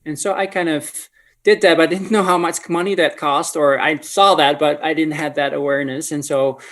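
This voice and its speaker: A man from the Netherlands, telling a personal story as an interview guest in a halting, think-aloud way. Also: a little high, fairly even intonation, a bit bright. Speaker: podcast guest, ministry leader.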